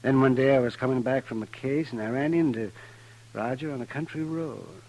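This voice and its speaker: An actor playing an aging, wry and weary frontier doctor in an old-time radio western, musing with dramatic pauses. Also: male voice, mid-range voice, a little mellow, natural voice. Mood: weary, confessional. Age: middle-aged. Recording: noisy vintage 1950s radio recording.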